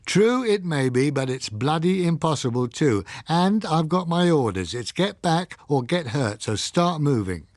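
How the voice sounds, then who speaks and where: A man voicing a gruff, colloquial male guard giving blunt orders in an audiobook. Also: a little high, very animated, unhurried, few pauses, no room sound, studio quality, pitched above the performer's own voice. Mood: stern, scornful. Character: gruff male armed guard, blunt, warning but reasonable.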